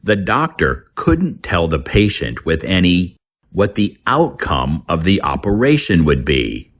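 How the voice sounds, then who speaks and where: A man reading a scripted English lesson aloud as a teacher, deliberately, word by word. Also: sits low, sing-song, highs cut off. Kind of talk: scripted lesson narration, slow read-aloud.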